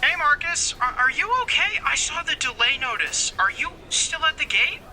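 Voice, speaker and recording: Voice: high voice, big pitch swings, normal speed, sharp tone, slight background noise, heavily acted, low-quality recording. An actor playing a worried, affectionate partner, heard through a telephone filter in an audio drama. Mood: worried, tender. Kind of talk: audio drama phone dialogue.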